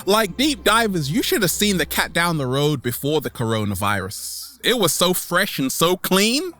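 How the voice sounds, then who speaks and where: A man hosting a vlog as a comedic monologue to camera, theatrical, with dramatic pauses and repeated catchphrases. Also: high-pitched, big pitch swings, pushed and bright, soft background music. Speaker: vlog host.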